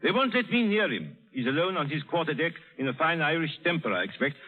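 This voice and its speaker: An actor playing a worldly, avuncular older English lord in a 1930s radio drama style. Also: male voice, fairly high pitch, sing-song, quick pace. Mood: gentle, worldly. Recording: vintage radio broadcast, thin and tinny.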